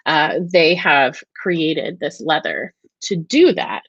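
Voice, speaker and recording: A woman's voice: sits low, expressive, takes it slowly, vocal fry, a bit bright, husky, dry recording, highs cut off. An American woman hosting a casual weekly livestream chat, enthusiastic and full of fillers and restarts. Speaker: host of a weekly live talk and Q&A.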